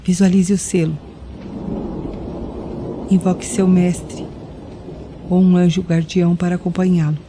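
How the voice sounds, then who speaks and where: A Brazilian woman narrating a guided meditation in a deliberate, soothing way, leaving pauses between phrases. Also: fairly low pitch, flat delivery, background noise. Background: music with rain and thunder sounds.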